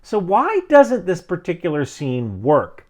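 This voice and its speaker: American guy explaining stuff on video, middle-aged, emphatic, pitch moves around a lot. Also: huge pitch swings, unhurried. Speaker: video host, military veteran. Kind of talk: explanatory commentary to camera.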